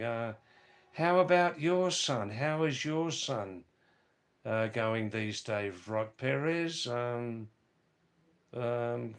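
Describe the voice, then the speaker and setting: A hoarse man live-streaming from a hill village, reading out viewers' comments and greeting them by name in a halting way with many fillers. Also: medium pitch.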